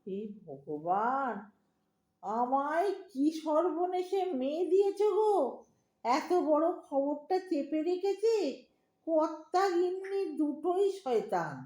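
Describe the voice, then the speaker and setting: A woman's voice: high-pitched, big pitch swings, slow pace, warm tone, roomy sound, heavily acted. A woman playing a lonely, sentimental middle-aged Bengali mother in an audio drama, with a pleading, emotional delivery and a Bengali accent. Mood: exasperated, overwhelmed.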